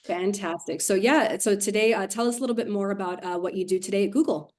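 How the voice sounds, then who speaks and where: A woman's voice: medium pitch, quick pace, a little mellow. A woman hosting a webinar interview on a video call, friendly, with a partly scripted intro and some "uh" fillers. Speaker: host, community manager.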